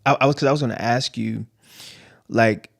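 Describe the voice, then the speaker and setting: A man's voice: medium pitch, steady tone, normal speed, gravelly, no echo. A man talking as a podcast guest in a laid-back, easygoing way, dropping "you know" and "man" often. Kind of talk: casual interview chat.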